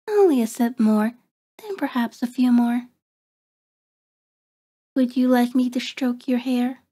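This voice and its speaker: Woman playing a slow, soft-spoken seductive vampire. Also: a little high. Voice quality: soft, breathy, often dropping to a whisper. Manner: slow, deliberate, coaxing, controlling. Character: seductive, predatory female vampire, commanding and condescending. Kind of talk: ASMR roleplay.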